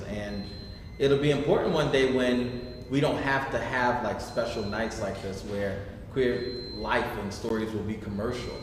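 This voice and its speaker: Man hosting in a cavernous, echoey hall. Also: lowish voice. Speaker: host of a staged reading discussion. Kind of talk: informal post-show question and answer. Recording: echoey noisy hall, distant mic.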